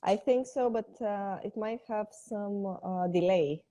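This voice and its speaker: A woman from Greece and Bulgaria speaks in a mellow, medium-pitched voice. She tells her story casually as a guest on an online interview. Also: slow. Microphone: video call.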